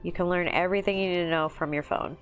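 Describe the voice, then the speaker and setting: A woman's voice: on the low side, steady tone, fast. A woman in her thirties hosting a casual livestream, chatty and self-deprecating, laughing often as she talks. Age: 30s. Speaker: livestream host. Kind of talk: casual chat while painting miniatures. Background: faint music.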